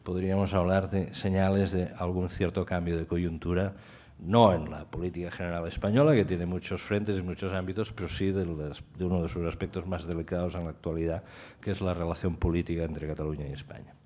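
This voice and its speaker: Low-voiced, hesitant male moderator. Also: steady tone, brisk, dark tone. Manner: hesitant, roundabout, courteous formal phrasing. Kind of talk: formal moderating of a conference. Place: hotel banquet hall, panel table.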